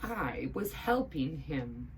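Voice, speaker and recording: A woman voicing an eager, indignant male talking hat in a children's story, exclaiming in slow, emphatic words. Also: sits low, very animated, slight background noise, full character voice. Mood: puzzled, hurt.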